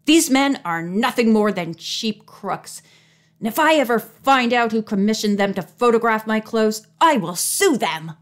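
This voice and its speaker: A woman's voice: fairly high pitch, moderate pace, a bit bright. A woman voicing an angry, threatening male fashion designer in a storybook, snarling and accusatory. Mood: angry, indignant.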